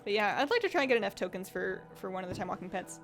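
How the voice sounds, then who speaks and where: A woman's voice: medium pitch, very animated, very fast, a bit bright. Chatty woman streamer, faint game music. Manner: chatty, rambling, reads viewer comments aloud. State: voice slightly strained from talking. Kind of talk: casual livestream chat while gaming.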